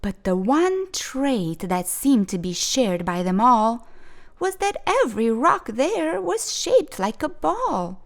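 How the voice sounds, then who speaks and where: Slow, expressive woman reading a rhyming story. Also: fairly high pitch. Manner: gentle, expressive, sing-song rhyming. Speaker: storytime reader. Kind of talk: reading a rhyming picture book aloud.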